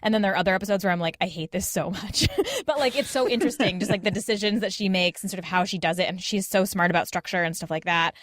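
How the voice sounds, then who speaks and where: A woman in her thirties talks in a loose, chatty way, peppering sentences with "like" and voicing imagined replies. Her pitch is average, and the sound is very dry with no room echo. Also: fast talker, few pauses. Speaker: podcast host as guest. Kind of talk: casual panel chat.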